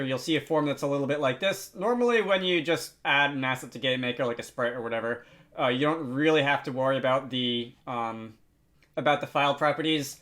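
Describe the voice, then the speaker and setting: Higher-voiced man giving a casual tutorial. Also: fairly bright tone. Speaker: tutorial host. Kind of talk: casual software tutorial narration.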